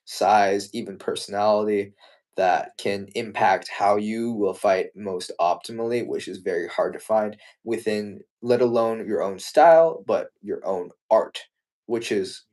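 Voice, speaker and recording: Earnest young man with a lowish voice. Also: darkish tone. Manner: earnest, thoughtful, with frequent restarts. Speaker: martial arts competitor and co-host. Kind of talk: casual live-stream chat.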